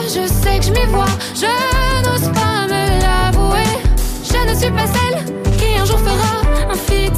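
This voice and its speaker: A woman singer performing a pop song, half-spoken and half-sung. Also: extremely high pitch.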